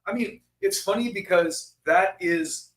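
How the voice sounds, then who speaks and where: A man from Utah, a podcast co-host, talking in a casual, profane and animated way. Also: high voice, measured pace, echoey room. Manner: animated, profane, swings into mocking quoted voices. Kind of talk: casual conversation.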